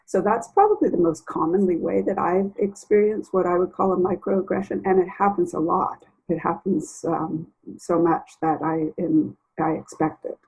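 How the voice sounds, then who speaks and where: Husky woman, lowish voice. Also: fairly even intonation, darkish tone, poor audio. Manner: measured, searching pauses. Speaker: lawyer. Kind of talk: reflective interview.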